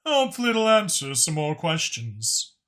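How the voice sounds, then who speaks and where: Man voicing a composed, bright-toned dragon spymaster. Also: a little high, big pitch swings, normal speed, voice pushed up. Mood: grave, deliberate. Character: composed, authoritative male dragon spymaster, scheming and wry. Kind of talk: audiobook character acting.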